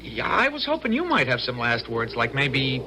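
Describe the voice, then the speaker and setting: An actor playing a nervous young American prisoner in a 1970s radio drama, hesitant, stammering and deferential. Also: male voice, medium pitch, huge pitch swings, moderate pace, noisy background. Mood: nervous pleading.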